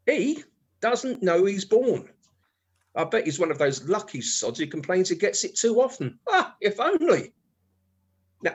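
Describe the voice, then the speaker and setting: Husky, swooping henpecked British husband. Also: male voice, high-pitched, exaggerated voice. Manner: mock-apologetic, innuendo-laden, confiding asides. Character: cheeky, henpecked, sex-starved husband with a telescope. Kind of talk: comic radio play acting.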